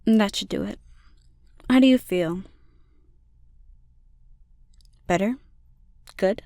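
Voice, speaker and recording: Lady doing a sweet caring slime monster girl for asmr, soft n soothing, mellow tone, super dry close sound, bit teasing. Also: mid-range voice, measured pace, creaky, no echo. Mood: caring, puzzled. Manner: soft, soothing, intimate delivery. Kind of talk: ASMR roleplay.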